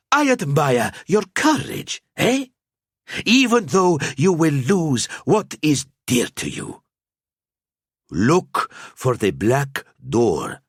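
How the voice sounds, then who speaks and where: A man voicing a tiny, cryptic old male alchemist who speaks in slow riddles and tags lines with "eh?". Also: on the high side, gravelly, slightly rough, no room sound, clean studio sound, pitched above the performer's own voice, full character voice. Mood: solemn. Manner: slow cryptic prophecy with tag "eh?".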